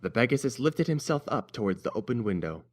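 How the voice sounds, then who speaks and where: A man's voice: mid-range voice, sing-song, brisk. A man narrating a scripted fan-fiction story as an audiobook reader.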